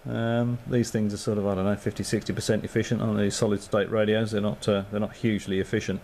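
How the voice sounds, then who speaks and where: A man's voice: lowish voice, little pitch movement, quick pace, darkish tone, a little hiss or hum. A man on amateur radio, chatting casually and thinking aloud with lots of fillers. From Australia.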